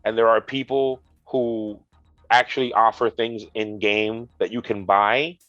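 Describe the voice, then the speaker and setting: A congested man with a low voice co-hosts a gaming news chat and delivers dry, deadpan jokes. His audio sounds dull and muffled, and it is very dry. Faint music plays underneath. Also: a little slow, no room sound. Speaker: co-host, retro gaming specialist.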